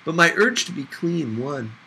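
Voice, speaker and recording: A man reading a novel aloud as expressive scripted narration. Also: fairly high pitch, a little slow, gravelly, a little hiss or hum, rough recording. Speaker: audiobook reader.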